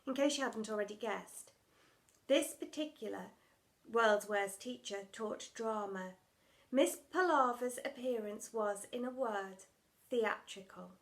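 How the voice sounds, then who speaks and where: A woman's voice: on the high side, unhurried. A woman narrating a children's storybook, reading scripted text as a primary school storyteller.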